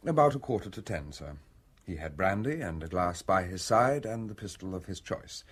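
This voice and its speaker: A manservant in a radio play, very low and rough-edged in voice. He is deferential and formal, choosing measured words with guarded politeness, yet his intonation rises and falls widely. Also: lively intonation. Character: discreet, deferential, self-serving valet and nurse attendant, man. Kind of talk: British radio drama dialogue.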